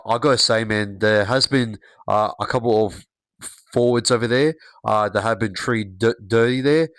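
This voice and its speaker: A man hosting a sports podcast, croaky from illness, ranting in a halting way with many fillers and stutters. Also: on the low side, fairly bright tone, no echo. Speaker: podcast host. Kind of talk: solo sports review rant.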